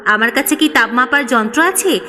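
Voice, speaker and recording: A woman's voice: high-pitched, animated, normal speed, sharp tone, slight background noise. A woman storyteller voicing a stern, domineering father-in-law who barks scolding reproaches. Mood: angry, shouting. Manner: barking rhetorical reproaches. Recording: long reverb, background music.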